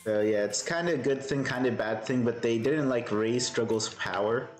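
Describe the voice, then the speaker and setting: A man's voice: medium pitch, moderate pace, echoey room, soft background music. Soothing man chatting through a stream. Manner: relaxed, thinks aloud and answers chat. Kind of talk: casual live-stream gameplay chat.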